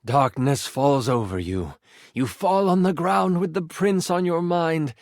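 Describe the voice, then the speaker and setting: Game master narrating fantasy rpg, theatrical, highish voice, swings up n down a lot, super dry sound. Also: male voice, lively intonation, unhurried, darkish tone, no echo. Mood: urgent narrating. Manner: theatrical, suspenseful storytelling. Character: theatrical, playful male game master, personified gaming die. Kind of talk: dramatic tabletop fantasy narration.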